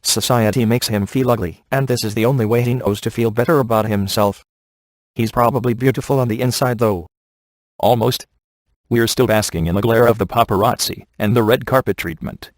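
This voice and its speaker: A man's voice: medium pitch, fairly quick, no echo, close to an everyday voice. A man voicing a cocky, wisecracking, flirtatious middle-aged ghost hunter in a video game, with dry, sarcastic delivery. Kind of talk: video game voice acting, one-liners.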